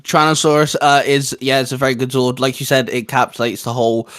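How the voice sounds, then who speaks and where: A man's voice: mid-range voice, steady tone, fast talker, fairly bright tone, clean tone. A young man hosting a channel, chatting casually and with enthusiasm like a keen fan. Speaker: channel host. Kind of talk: casual chat and video introduction.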